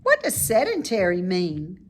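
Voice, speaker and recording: A woman's voice: medium pitch, wildly swooping pitch, measured pace, a little hiss or hum. A woman voicing a naive, sensitive young male pig in a children's storybook. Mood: curious. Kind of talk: children's storybook read-aloud.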